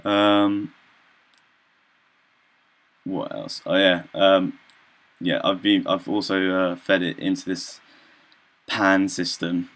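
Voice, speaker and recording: A young man talks through an informal software demo in a low, gravelly voice. He is hesitant, often filling gaps with ums and clearing his throat as he works out what to say next. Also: fairly even intonation, takes it slowly, long pauses. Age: young adult. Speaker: student presenter.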